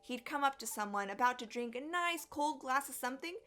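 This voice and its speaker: A woman reading a children's story aloud in an expressive, deliberate storyteller's way. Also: high-pitched, soft background music. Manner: animated, deliberate storytelling with acted sound effects. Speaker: storyteller, elementary music teacher.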